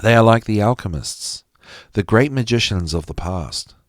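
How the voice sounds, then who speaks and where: Male reader for lecture-style material, slightly low voice, highly animated intonation, deliberate and emphatic. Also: no room sound, clean studio sound. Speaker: podcast host reading aloud from a psychology text. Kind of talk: expressive reading of a psychology lecture.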